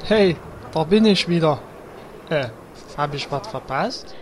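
Casting: man doing dim, eager hero, very high male voice, halting and slow, comedy radio play with clear background noise. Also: expressive. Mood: naive, eager. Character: naive, eager, awkward male fantasy hero. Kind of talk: comedy audio drama acting.

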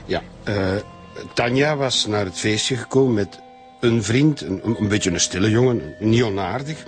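An actor playing an evasive, flustered Flemish boss in a radio crime drama, answering questions haltingly. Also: male voice, fairly low pitch, soft background music, natural voice. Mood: hesitant. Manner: hesitant, halting.

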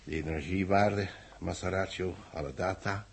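An actor playing a grave, betrayed engineer who speaks with dramatic emphasis in a 1960s radio drama. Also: male voice, sits low, normal speed, slight background noise. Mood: emphatic, revealing. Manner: emphatic, dramatic, repeating words. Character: grave, betrayed male engineer, a society chairman.

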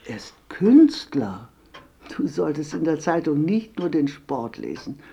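A woman's voice: deep voice, big pitch swings, measured pace, creaky, mellow, husky, exaggerated voice. An actress playing a reserved elderly former sweetheart, a homely grandmother in a naturalistic radio drama. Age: older.

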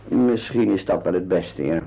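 A man's voice: deep voice, normal speed, creaky. An actor playing a genial, tactful veterinarian in a radio drama, informal as he gives advice. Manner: informal, advising. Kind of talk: radio drama acting, conversational. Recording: dull lo-fi radio play recording.

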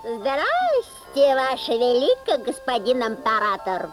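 A woman's voice: sits high, wildly swooping pitch, slow pace, music in the background, heavily acted. A woman playing a simple peasant mother who puts on airs, with a rustic, sighing, doubtful manner. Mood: humbly proud.

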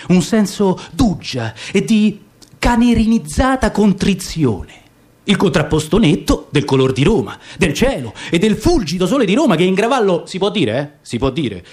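A man narrating a radio-theatre piece. His delivery is theatrical and lively, and he relishes the dialect. His voice sits high and swings widely in pitch. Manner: theatrical, lively, savoring the words. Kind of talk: dramatic reading of dialect poetry and a novel.

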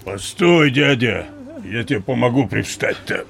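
A man's voice: medium pitch, expressive, a little slow, vocal fry, a bit bright. An actor playing an eager, bossy peasant man in a radio play, calling out in rustic speech. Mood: urgent, bossy. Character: eager, bossy peasant fellow lending a hand. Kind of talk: Soviet radio theatre acting.

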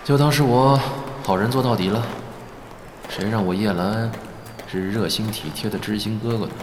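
A man's voice: on the low side, normal speed, background noise, lightly acted. A man playing a teasing, secretly caring big-brother entertainer in a Chinese audio drama, languid and grumbling yet indulgent. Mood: musing, wry. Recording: music behind the voice.

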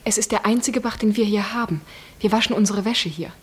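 An actress playing a shy, guarded young island princess in a 1950s German radio drama, soft-spoken, with simple, reserved replies. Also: female voice, medium pitch, brisk, husky, natural voice. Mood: plain, reserved.

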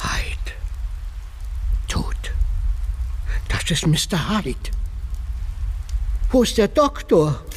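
Worried butler, slow and fairly high. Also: male voice, very animated, husky, full character voice. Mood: shocked. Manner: deferential, anxious. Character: loyal, worried long-serving male butler, middle-aged or older. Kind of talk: radio drama dialogue.

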